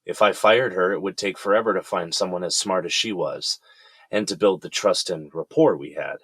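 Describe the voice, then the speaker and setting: A man's voice: low voice, moderate pace, fairly bright tone, slightly rough, no room sound, full character voice. A man playing a weary middle-aged defendant who explains himself in an earnest, conversational way in an audio drama. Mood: earnest, defensive.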